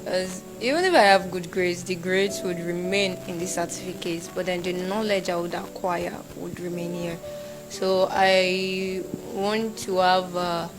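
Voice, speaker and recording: A young Nigerian woman, a university student, talking casually in an interview and acting out shouted quotes. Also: fairly low pitch, unhurried, over music, poor audio. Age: young adult. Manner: animated, acts out shouted quotes.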